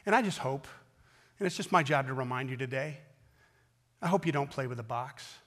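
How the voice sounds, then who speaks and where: An American man in his fifties preaching a sermon as a pastor, earnest and emphatic, with deliberate pauses and repetition. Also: medium pitch, lively intonation, fairly bright tone, in a large hall. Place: large indoor church auditorium stage at a wooden pulpit.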